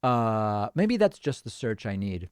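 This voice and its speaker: A man's voice: lowish voice, big pitch swings, a little slow, smooth, dry recording. A man hosting a casual livestream, chatting and thinking aloud as he reads out viewer comments on a close microphone. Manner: chatty, thinking aloud with restarts and small laughs. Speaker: host.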